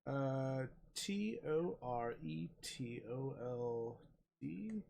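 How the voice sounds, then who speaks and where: A woman's voice: extremely low pitch, lively intonation, very slow, gravelly, dark tone. A woman co-player on a game stream, working through a puzzle in casual chat and spelling out letters one at a time.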